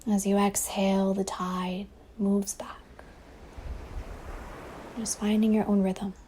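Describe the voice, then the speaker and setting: Soft, mellow woman guiding a meditation. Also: mid-range voice, level tone, poor audio. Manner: soft, breathy, soothing delivery with long pauses. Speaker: meditation teacher. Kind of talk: guided meditation. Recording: faint ambient wave noise behind voice. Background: ocean waves sound effect.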